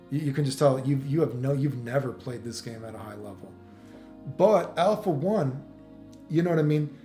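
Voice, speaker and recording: Male gaming commentator, mellow tone, opinionated and rambling with swearing, unscripted, music playing behind him in a roomy space. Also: medium pitch. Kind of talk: casual off-the-cuff ranting game commentary.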